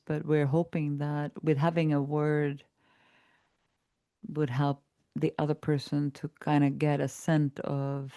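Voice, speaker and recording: A woman hosting a reflective conversation, explaining ideas calmly and laughing easily. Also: sits low, no room sound. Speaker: podcast host and trainer.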